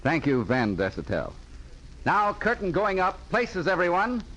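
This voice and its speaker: Lively, courtly 1940s radio host, male. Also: high-pitched, measured pace, rough recording. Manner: courtly, theatrical. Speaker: director and host of radio theatre. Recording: vintage 1940s radio transcription.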